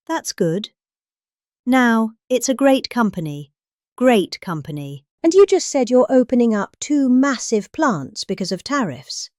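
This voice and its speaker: Middle-aged British female reader for a political speech, highly animated pitch, dry close sound. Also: no echo. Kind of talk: dramatic reading of a political speech.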